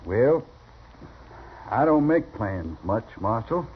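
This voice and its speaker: An actor playing a quiet, polite, easygoing gunman in a 1950s radio Western, soft-talking, laconic and courteous. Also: on the low side, big pitch swings, slow pace. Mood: guarded. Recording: dull, noisy vintage radio recording.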